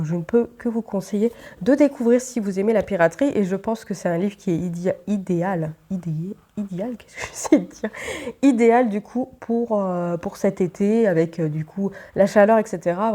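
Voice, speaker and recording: A woman's voice: mid-range voice, dark tone. Nasal, congested woman chatting. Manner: chatty, rambling, many fillers. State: congested, stuffy nose from a cold. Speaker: book vlogger. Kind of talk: casual chat to camera.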